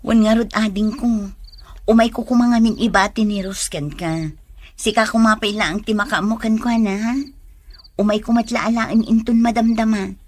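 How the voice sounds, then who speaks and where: A woman's voice: on the high side, fairly even intonation, normal speed, close to an everyday voice. A woman playing a devoted, stubborn young woman in love, in a radio drama. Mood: anxious. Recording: music under the voice.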